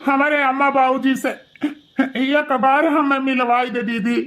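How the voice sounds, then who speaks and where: A man's voice: very high-pitched, little pitch movement, slow, a bit bright, exaggerated voice. A man with an Awadhi accent playing a remorseful, guilt-ridden grown son who pleads repetitively in a melodramatic moral cartoon. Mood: tearful pleading.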